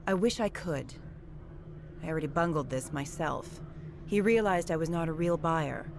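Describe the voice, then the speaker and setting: Low-voiced, secretive alien woman agent. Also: noisy background. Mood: calm, guarded. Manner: measured, clipped, businesslike. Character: secretive, formal female alien corporate agent.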